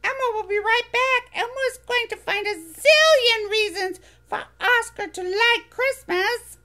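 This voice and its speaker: A woman's voice: extremely high pitch, measured pace, bright, forceful tone, pitched above the performer's own voice, heavily acted. A woman voicing a cheerful little monster boy who talks about himself in the third person, from a storybook reading. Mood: excited.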